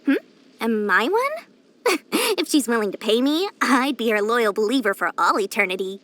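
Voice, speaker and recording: A pint-sized, money-hungry female merchant from a video game. Her voice sits very high and swings up and down with theatrical flair. She boasts and wheedles like a born schemer, smugly cheerful in every sales pitch. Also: very animated, normal speed, fairly bright tone. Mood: sly, theatrical. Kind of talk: video game character voice lines.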